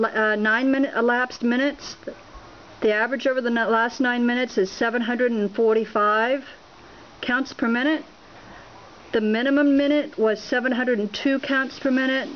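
A woman at a home filming a laptop screen, talking without a script and reading out measurement numbers with many pauses and fillers. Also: fairly high pitch, muffled recording, a little hiss or hum. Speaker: home radiation monitoring vlogger. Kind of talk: unscripted on-camera walkthrough. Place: indoors at a home, beside a laptop.

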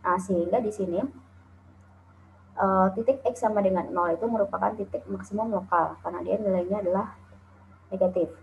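A woman's voice: medium pitch, steady tone, brisk, creaky, pauses often, slight background noise. A woman from Indonesia giving a recorded calculus lecture over slides, explaining step by step with frequent "eh" and "nah". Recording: tinny phone-like lo-fi audio.

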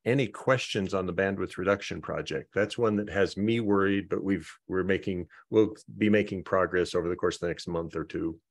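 A man hosting an online project meeting, talking through updates in a halting way and often repeating words as he thinks. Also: sits low, steady tone. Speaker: meeting host.

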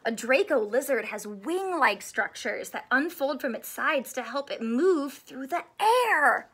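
A woman in her thirties with a high-pitched voice reads a picture book to young children. She takes it very slowly, stretching key words and putting questions to her listeners like an expressive teacher. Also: slightly rough. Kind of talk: animated read-aloud of a children's book. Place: small indoor room with couch and stuffed toys.

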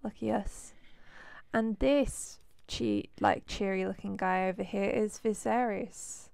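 A woman commentates a life-sim game in a loose, rambling way, full of fillers. Her voice is hoarse from a cold, with a gravelly edge under an otherwise smooth tone. The sound is dry and close, with no room around it. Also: medium pitch, steady tone, slow, a little mellow, no room sound. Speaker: let's play host.

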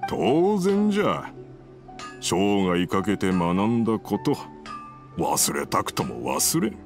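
Gruff, low-voiced old master swordsmith. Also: male voice, very animated, slow pace, soft background music, heavily acted. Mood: proud, instructive. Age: older. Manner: curt, archaic old-man speech. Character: gruff, proud old master swordsmith man, secretly helpful. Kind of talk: video game voice acting.

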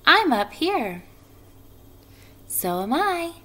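Woman as a tiny, high-pitched, chirpy young spider. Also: big pitch swings, very slow, bright, forceful tone, full character voice. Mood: friendly greeting. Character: tiny young female spiders, friendly and spirited. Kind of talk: children's storybook character reading.

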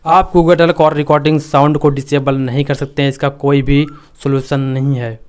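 A man's voice: mid-range voice, little pitch movement, normal speed, clean tone. An Indian man narrating a step-by-step phone tutorial in a repetitive, instructional way. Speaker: tech tutorial host.

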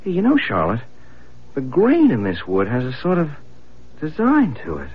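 A man's voice: mid-range voice, wildly swooping pitch, moderate pace, slightly rough. An actor playing an easygoing, curious young husband and father in a radio drama, with a casual, musing manner. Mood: fascinated. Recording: dull lo-fi radio broadcast, faint noise.

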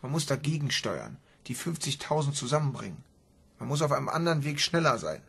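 Determined young son, very husky, creaky, lively intonation, radio crime drama. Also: male voice, medium pitch, fairly quick, exaggerated voice. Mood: earnest, defensive. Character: young son, determined and secretive game player.

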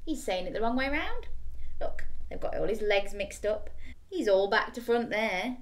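A woman's voice: medium pitch, expressive, normal speed, slight background noise. A woman in her thirties reading a bedtime story to young children, expressively, with questions for the listener. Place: small bedroom, indoors.

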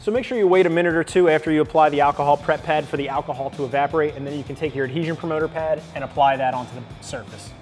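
A man in his thirties walking through an install step by step in a bright indoor garage. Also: on the high side, very fast, hardly any pauses, soft background music. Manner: steady step-by-step instruction. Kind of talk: how-to install walkthrough.

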